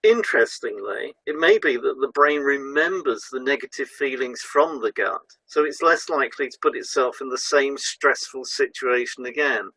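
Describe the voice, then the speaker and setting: A man's voice: medium pitch, moderate pace, hardly any pauses, pushed and bright, husky, no room sound, rough recording. A man presenting a scripted educational talk as a narrator.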